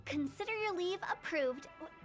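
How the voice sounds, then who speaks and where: A woman's voice: extremely high pitch, animated, normal speed, soft background music. A woman playing a playful, cheeky teenage funeral director in a video game, with a breezy, teasing manner. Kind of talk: video game character acting.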